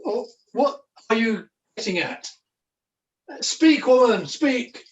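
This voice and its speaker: An echoey, distant home video call carries a man playing a foolish pantomime jester. He has a high voice and declaims in a mock-grand, old-fashioned way, protective of his companion. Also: lively intonation, slow pace, highs cut off, exaggerated voice. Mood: indignant, protective. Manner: theatrical, mock-archaic declaiming. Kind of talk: amateur pantomime acting. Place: at home, indoors.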